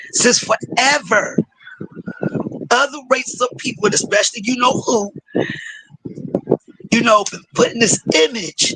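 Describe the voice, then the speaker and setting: Hoarse, high-voiced man in a heated debate. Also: noisy background. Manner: preachy, repeats "you understand?". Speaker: panelist.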